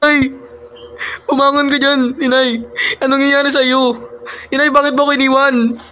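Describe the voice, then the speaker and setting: High-voiced pleading young son acted by a man. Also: level tone, normal speed, bright, forceful tone, poor audio. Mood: anguished pleading. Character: remorseful young son pleading over his dying mother. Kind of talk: amateur Filipino puppet show drama.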